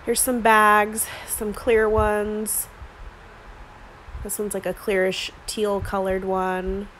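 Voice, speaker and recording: A woman's voice: mid-range voice, completely flat delivery, takes it slowly, a bit bright, slight background noise, rough recording. Chatty woman filming a store walk-through. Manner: chatty, lots of "like" fillers. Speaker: craft vlogger. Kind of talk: casual shop-with-me narration. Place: inside a store, walking the aisles. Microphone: handheld phone camera.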